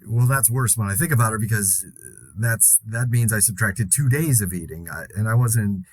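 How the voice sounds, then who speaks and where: An actor plays an anxious man broadcasting alone through a zombie apocalypse in an audio drama. His voice sits fairly low. He talks in a conversational, confessional way, rambling and halting, with a dry sardonic edge. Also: slightly rough, no room sound. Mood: rambling, sheepish. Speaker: podcast host in a zombie-outbreak audio drama.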